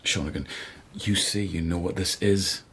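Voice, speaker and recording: An actor playing a grave, watchful confidant in a radio drama, quietly and sombrely questioning with restraint. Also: male voice, low voice, moderate pace, slight background noise. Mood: grave, concerned.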